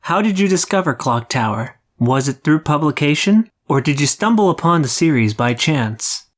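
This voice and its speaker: Mid-pitched podcast host asking interview questions. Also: animated, normal speed.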